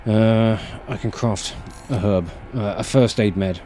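A man's voice: fairly low pitch, a little slow, dark tone. A man in his thirties giving casual, exasperated, sweary gameplay commentary as he streams, close on the mic indoors. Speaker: video game streamer. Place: indoor room, seated at gaming setup. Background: game music and sound effects.

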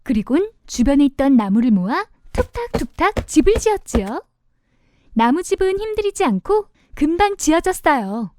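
A woman's voice: high-pitched, very animated, normal speed, dark tone. A woman narrating a children's storybook as storyteller, expressively and simply for young listeners.

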